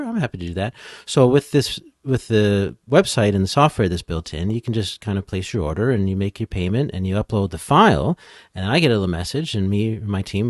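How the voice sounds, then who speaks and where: A man's voice: on the low side, no room sound, studio quality. A man speaking as an expert podcast guest, explaining things in an easygoing, casual conversational way. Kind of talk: casual interview chat.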